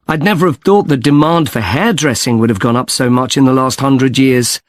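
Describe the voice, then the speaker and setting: A man's voice: medium pitch, moderate pace, no echo, natural voice. A man playing an interested student in a conversational, scripted study discussion. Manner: engaged, conversational.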